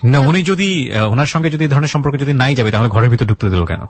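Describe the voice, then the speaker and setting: A man's voice: mid-range voice, animated, fast talker, no room sound. A man with a Bangladeshi Bengali accent hosting a radio interview, mixing English words into his talk. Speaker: radio host.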